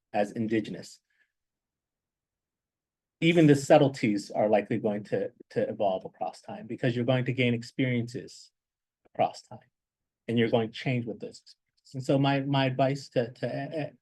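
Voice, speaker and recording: A man giving reflective advice as a guest speaker, in a halting way that repeats words as he thinks. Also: mid-range voice, a little mellow, breathy edge. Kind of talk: reflective advice in an informal talk.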